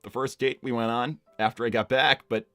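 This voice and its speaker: A man playing a cocky, laid-back, slightly dense young hedgehog hero in improvised live roleplay, talking casually and haltingly with plenty of filler words. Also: mid-range voice, flat delivery, sharp tone, no echo, close to an everyday voice. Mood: defensive, reluctant. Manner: casual, halting, lots of "like" and "you know". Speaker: live stream character performer reading donor messages.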